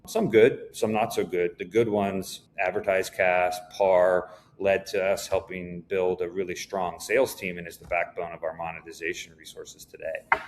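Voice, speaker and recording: A man speaking as an executive guest in a conversational business interview, explaining things in a measured way. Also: sits low, level tone, slight background noise.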